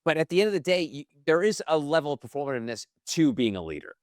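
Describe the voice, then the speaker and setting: Relaxed male podcast host, dry sound. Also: fairly high pitch, fast talker, no echo. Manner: relaxed, conversational, with frequent fillers. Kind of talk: casual interview chat.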